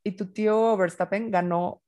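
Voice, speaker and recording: A woman's voice: mid-range voice, steady tone, moderate pace, dark tone. A young woman, co-hosting a podcast in casual chat with playful, wry asides. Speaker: podcast co-host. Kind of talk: casual chat about racing.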